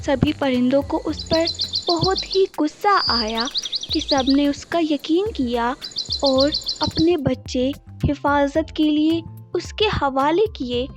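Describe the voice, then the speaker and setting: High-pitched woman narrating a children's story. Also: slow. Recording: children's music behind voice.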